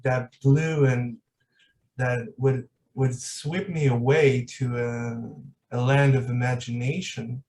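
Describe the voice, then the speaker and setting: A man's voice: mid-range voice, fairly even intonation, takes it slowly, darkish tone, recorded from a distance. A man hosting a podcast in casual, reflective conversation, hesitant and searching for his words. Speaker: podcast host.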